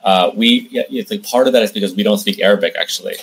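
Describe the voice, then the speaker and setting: A man's voice: lowish voice, fast talker, gravelly, fairly bright tone, husky. A man giving conversational interview answers as a guest, explaining things in detail and often restarting his phrases. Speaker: interview guest, film director and producer.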